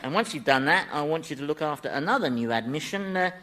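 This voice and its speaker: An actor playing a chatty, exasperated devil who runs hell, wry and dismissive, in a radio comedy. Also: male voice, a little high, animated, fast, background noise, close to an everyday voice. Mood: amused. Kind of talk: broadcast radio comedy acting.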